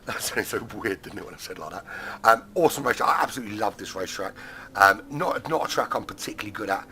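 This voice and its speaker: A man commentating a sim-racing league stream, hoarse and squeaky after losing his voice, chatty with lots of fillers. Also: fast talker, a little hiss or hum. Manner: chatty, many fillers, bursts of excitement. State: hoarse, recovering from lost voice.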